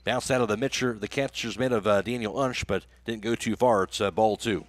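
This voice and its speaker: A man's voice: lowish voice, brisk, dry recording. A man doing live play-by-play baseball commentary for a radio broadcast. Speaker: play-by-play announcer.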